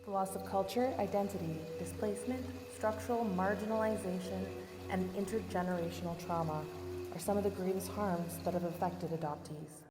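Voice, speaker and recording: A woman with a Canadian accent reciting spoken-word poetry in a measured, solemn way. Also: mid-range voice, background noise. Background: loud music.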